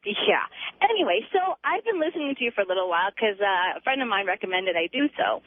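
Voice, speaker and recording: A woman's voice: medium pitch, sing-song, fast talker, dry recording. A woman calling a radio show to debate the host in a skeptical, argumentative way. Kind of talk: challenging, conversational debate. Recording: tinny phone line on radio.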